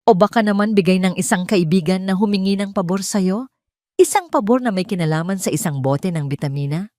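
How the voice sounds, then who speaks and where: A woman's voice: mid-range voice, brisk, darkish tone, no room sound, natural voice. Audio drama role: furious, controlled male CEO, commanding and cold, dry close sound, read by a female narrator. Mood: suspicious. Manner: icy, commanding, insistent.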